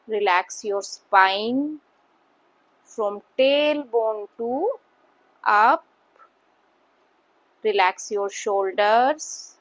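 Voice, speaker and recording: A woman with an Indian accent leads a guided meditation as an instructor, speaking calmly in short instructions with long pauses. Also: on the high side, poor audio.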